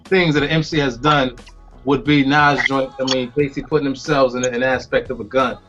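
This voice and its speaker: A man on the panel giving his views in a relaxed, reflective way. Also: medium pitch, fairly quick. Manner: reflective, acts out sounds in stories. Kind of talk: casual conversation. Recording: lo-fi, faint music behind.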